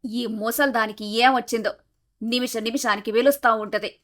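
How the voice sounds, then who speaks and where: A woman voicing sharp-tongued, sarcastic village in-laws who scold and bicker in a dramatised moral story. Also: fairly high pitch, steady tone, normal speed, natural voice. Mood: grumbling. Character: sharp-tongued bickering mother-in-law and daughter-in-law in village household.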